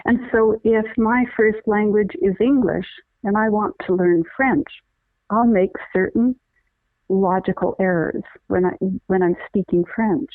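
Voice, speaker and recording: A woman's voice: medium pitch, fairly even intonation, a little slow, no room sound. Woman guest, middle-aged, earnest explainer with many restarts; dry phone-line sound. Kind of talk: conversational interview, explaining.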